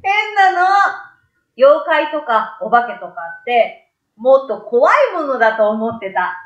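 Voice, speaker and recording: A woman's voice: a little high, very animated, unhurried, roomy sound, dull audio. A woman voicing a wide-eyed, friendly young boy in a storybook, with childlike casual speech. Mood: curious. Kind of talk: storybook read-aloud.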